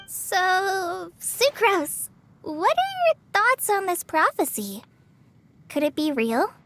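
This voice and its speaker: A woman's voice: very high-pitched, sing-song, takes it slowly, fairly bright tone, no echo, exaggerated voice. A woman voicing a shy, earnest, self-doubting teenage girl in a video game, speaking politely and hesitantly. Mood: curious. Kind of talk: animated video game voice acting. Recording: music behind the voice. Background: game music.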